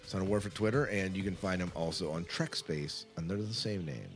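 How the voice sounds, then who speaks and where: A man's voice: low voice, a little fast, soft background music. A man co-hosting a podcast, talking casually and chattily to listeners, rambling a little and correcting himself as he goes. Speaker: podcast co-host.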